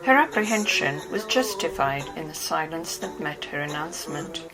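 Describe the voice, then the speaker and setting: Low-voiced woman narrating a novel. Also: lively intonation, moderate pace, rough recording. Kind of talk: scripted literary narration.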